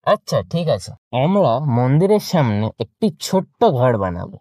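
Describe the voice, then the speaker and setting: A man's voice: fairly high pitch, big pitch swings, normal speed, dark tone, no echo, clean studio sound. A man voicing a grave, compassionate temple priest in a cartoon, solemn and advising. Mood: reassuring. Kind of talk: cartoon story voice acting.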